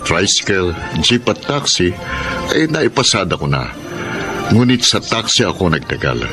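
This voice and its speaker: Low-voiced worried taxi driver father. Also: sing-song, unhurried. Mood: reflective. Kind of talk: radio drama acting. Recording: music under the voice.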